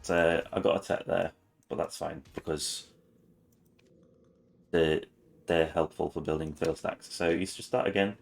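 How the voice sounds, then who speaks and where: A very low-pitched man talks through a game guide. His voice is raspy this morning, and he rambles with frequent ums. His delivery is casual and unhurried. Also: fairly even intonation. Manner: rambling, many ums and restarts. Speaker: gaming channel host.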